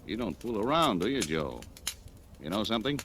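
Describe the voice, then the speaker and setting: On a noisy old radio broadcast, a hard-boiled American police lieutenant. He is sardonic and needling, with a wide, swinging pitch in the manner of vintage crime drama. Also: very animated, brisk. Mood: sardonic, pressing. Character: tough, sardonic police lieutenant grilling a suspect. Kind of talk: 1940s-50s radio acting.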